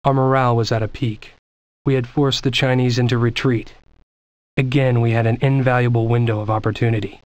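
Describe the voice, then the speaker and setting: A man playing a grave American soldier narrating a war campaign in a solemn, measured, scripted style for a video game. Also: mid-range voice, fairly even intonation, no echo, lo-fi sound, close to an everyday voice. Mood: resolute. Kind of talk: scripted dramatic cutscene narration.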